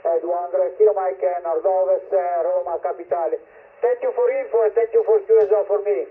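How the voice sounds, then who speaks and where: A man's voice: high-pitched, steady tone, husky. Italian man over tinny shortwave radio. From Italy. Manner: repeats words and call signs, halting spelled-out phonetics. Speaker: ham radio operator. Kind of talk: on-air radio exchange. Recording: tinny shortwave radio signal with faint static.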